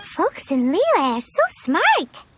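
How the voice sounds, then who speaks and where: A woman voicing a tiny, excitable, food-loving girl mascot in a video game, with a squeaky childlike voice and naive, eager delivery. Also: slow pace, exaggerated voice. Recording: clear music behind voice.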